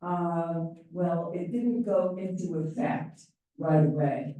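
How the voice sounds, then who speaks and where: An older woman with a loud voice giving a chatty, informal briefing to a meeting, wandering into self-deprecating asides. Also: on the low side, takes it slowly. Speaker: volunteer presenter on ballot issues. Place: large meeting room. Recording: very echoey room, voice from far off.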